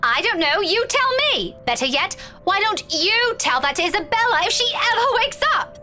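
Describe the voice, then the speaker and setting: Visual novel role, actress: headstrong young woman, bright forceful tone, very expressive, exasperated and cutting. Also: very high voice, normal speed, faint music behind, heavily acted. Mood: bitter sarcasm. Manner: sarcastic, accusing.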